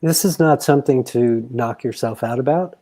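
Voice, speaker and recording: A man guiding a counseling conversation as a grief counselor, in a measured, deliberate way. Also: mid-range voice, dark tone.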